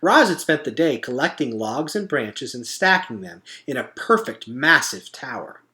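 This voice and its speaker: A man reading a children's story aloud as an expressive narrator. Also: medium pitch, normal speed.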